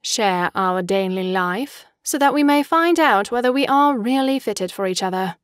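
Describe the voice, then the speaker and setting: A woman's voice: medium pitch, animated, moderate pace, no echo, studio quality, natural voice. An actress playing a fickle, imperious young woman in an audio drama, poised and articulate, with a mocking manner. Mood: commanding.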